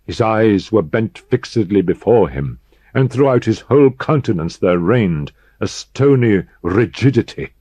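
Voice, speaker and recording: A man narrating a gothic horror audiobook in a grave, measured, dramatic manner with deliberate pauses for emphasis. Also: deep voice, darkish tone, slightly rough, no room sound.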